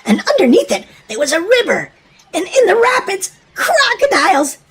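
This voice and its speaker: A woman's voice: extremely high pitch, huge pitch swings, moderate pace, background noise. A woman narrating a children's storybook in a dramatic, emphatic scripted read-aloud style for young listeners.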